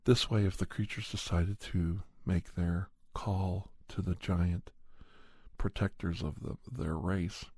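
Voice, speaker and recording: A man with a deep, mellow voice narrates a horror tale as ASMR. His delivery is measured and halting, like a scientist giving his account, and the texture is husky and breathy. The sound is close and dry. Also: steady tone, no echo. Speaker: ASMR storyteller and podcast host. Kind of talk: ASMR first-person horror story narration.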